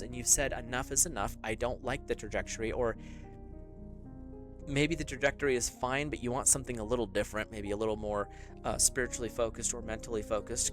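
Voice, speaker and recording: A man giving a casual, rambling tarot reading to his viewers, speaking loosely with fillers and small laughs. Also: mid-range voice. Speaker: tarot reader.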